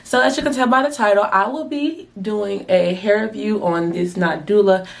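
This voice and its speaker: A young woman reviewing a wig in a casual vlog, her voice hoarse and raspy after a night out, talking in a chatty, slangy, animated way. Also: mid-range voice, moderate pace. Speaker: beauty vlogger.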